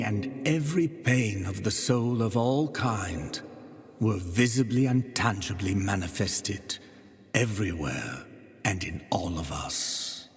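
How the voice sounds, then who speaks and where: A grave, devout penitent witness narrating a dark video game. His low voice is very husky and breathy, delivered slowly in archaic, portentous phrases that trail into long reverb. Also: full character voice. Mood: solemn, reverent. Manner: solemn, measured, portentous.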